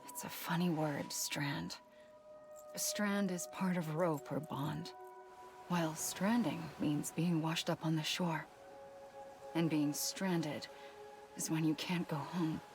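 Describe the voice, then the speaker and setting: An actress playing a gentle, sorrowful woman in a video game cutscene, speaking slowly in a hushed, breathy voice that often slips toward whispering. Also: sits low, steady tone, over music. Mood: tender, wistful. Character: gentle, sorrowful woman reaching out to a lost loved one.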